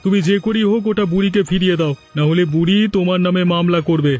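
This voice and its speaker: A man's voice: high-pitched, fast, darkish tone, lo-fi sound, faint music behind, close to an everyday voice. A man voicing a stern, officious village authority in a folk-tale cartoon, giving admonishing warnings.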